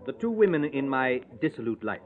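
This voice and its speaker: A man's voice: medium pitch, big pitch swings, a little fast, close to an everyday voice. A man playing a charming, cynical playwright in an old radio drama, urbane and wry in manner. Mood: suave. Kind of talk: 1940s-50s radio drama acting. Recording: old, narrow-band radio recording.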